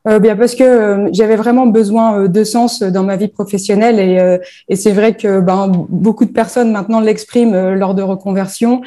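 A woman's voice: mid-range voice, fairly even intonation, moderate pace, hardly any pauses, warm tone. Hesitant woman from northern France. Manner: hesitant, many fillers. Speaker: founder of a bereavement platform. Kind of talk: interview answers.

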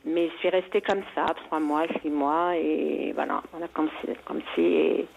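A woman's voice: sits low, fairly even intonation, unhurried, vocal fry, husky, narrow, tinny audio. A woman from Algeria calling in to tell a personal story, hesitant and full of restarts. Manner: hesitant, many restarts and fillers. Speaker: caller.

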